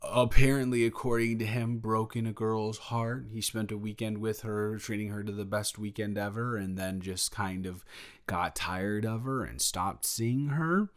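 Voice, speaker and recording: A man playing a sheepish, protective best friend in an improvised audio roleplay, talking in a halting, rambling, awkwardly candid way. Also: on the low side, fairly even intonation, lightly acted. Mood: reluctant, disapproving. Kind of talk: improvised audio roleplay, intimate conversational monologue.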